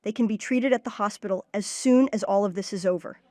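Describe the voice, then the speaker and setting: A woman's voice: medium pitch, fast talker, warm tone, dry recording, close to an everyday voice. A woman voicing an earnest child leader who takes charge, in a cartoon-style comic dub. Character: earnest kid leader, Max, organizing friends. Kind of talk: comic dub character acting.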